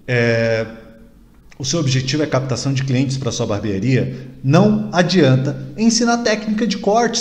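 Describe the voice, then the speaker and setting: A man's voice: a little high, darkish tone. A man in his thirties with a Brazilian accent giving an informal, emphatic instructional talk, full of questions and repetition. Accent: Brazilian Portuguese. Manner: conversational, emphatic, uses rhetorical questions and repetition. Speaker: marketing speaker for barbers. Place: small home room, indoors. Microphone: desk microphone near webcam. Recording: roomy echo.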